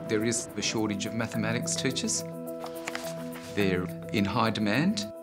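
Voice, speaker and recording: A man, a school leader, speaking in a measured, matter-of-fact way. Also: on the low side, fairly even intonation. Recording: documentary with loud background music. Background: music.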